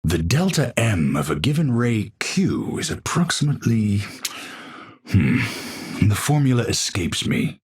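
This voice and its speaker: An actor playing an eccentric, unstable Dutch astrophysicist in a video game, learned and grandiose, with theatrical, professorial diction. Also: male voice, fairly low pitch, sing-song, husky, full character voice. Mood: brooding, perplexed. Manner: theatrical, professorial, slow and deliberate wording.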